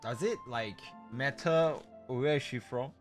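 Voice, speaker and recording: A man chatting casually as he hosts a game livestream, halting and repeating himself, with loose non-native English phrasing. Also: medium pitch, soft background music. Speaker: livestreamer hosting a game stream.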